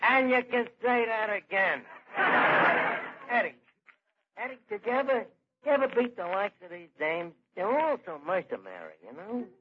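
An actor playing a wisecracking, blustering New York tavern manager in an old-time radio comedy, with slangy, hesitant patter. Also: male voice, high-pitched, sing-song, a little slow. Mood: wry. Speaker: comedy show lead. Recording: dull, noisy old radio recording.

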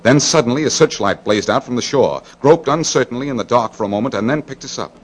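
A man playing a war correspondent who gravely recounts a battle in dramatic first-person radio narration. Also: medium pitch, animated, quick pace, natural voice. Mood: grave, tense.

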